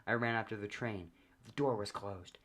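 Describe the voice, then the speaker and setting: A man reading a comedic first-person story aloud as narrator, in a deadpan manner. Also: on the low side, moderate pace.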